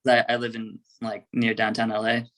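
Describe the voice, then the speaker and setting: A man's voice: on the low side, monotone, moderate pace, a bit bright. A man with an American accent chatting casually as an interview guest, rambling through stories with lots of filler "like". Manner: rambling, peppered with "like". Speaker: band member, interview guest. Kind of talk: casual chat.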